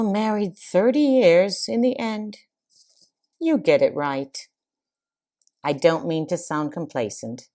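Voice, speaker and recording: An older woman playing an arch long-married wife, reciting a comic verse monologue in a theatrical, measured way. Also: low voice, dark tone. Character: long-married wife cheerfully confiding about snoring.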